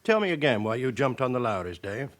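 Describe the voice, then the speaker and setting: Senior male inspector, radio drama: terse, questioning, sceptical, lively pitch movement. Male actor. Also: fast talker, lightly acted. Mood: sceptical, stern. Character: sceptical senior police chief inspector, exacting. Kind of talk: naturalistic radio drama acting.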